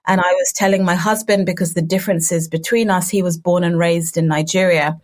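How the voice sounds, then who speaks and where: A woman's voice: lowish voice, steady tone, a little fast, darkish tone. A woman in her thirties, Ugandan-born and raised in the UK, answering interview questions at length in a fluent, thoughtful way. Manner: fluent, thoughtful, with some fillers. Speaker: guest, children's book publisher.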